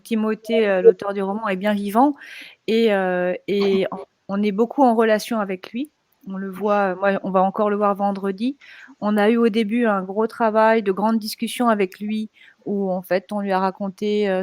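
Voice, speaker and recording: A woman's voice: mid-range voice, darkish tone, no echo. A woman from animation production explaining her working process during a panel discussion.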